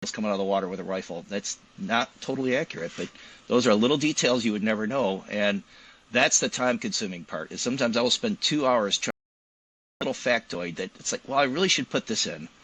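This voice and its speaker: A man speaking as an interview guest in casual conversation, explaining details in an animated, colloquial way. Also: on the low side, fast, rough recording. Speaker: guest, physician and novelist.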